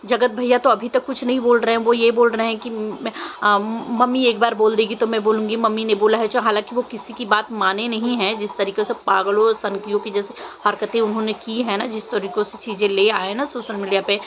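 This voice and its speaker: A woman's voice: on the high side, level tone, fairly quick, few pauses. A young woman gives casual opinion commentary to viewers, insistent and questioning, often repeating her phrases. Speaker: vlogger. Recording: muffled lo-fi audio, faint background noise.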